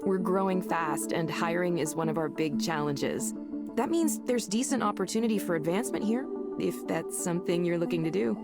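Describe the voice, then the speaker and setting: A confident, sociable woman manager from a visual novel game , played by an actress, speaks in a slightly low voice. She sounds assured and conversational, with loud music behind her. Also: normal speed, natural voice. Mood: confident, welcoming. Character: busy, confident, sociable female counseling center manager.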